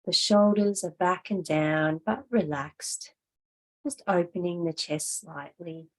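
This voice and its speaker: Woman yoga teacher doing a guided meditation, lowish voice, really slow and gentle. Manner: slow, gentle, measured guiding.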